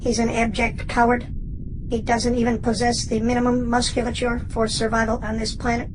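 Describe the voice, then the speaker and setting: An actress playing a disdainful, precise alien observer in a radio drama, clipped, formal and emotionless. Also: female voice, on the high side, monotone, fairly quick, noisy background, lightly acted. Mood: clinical, commanding.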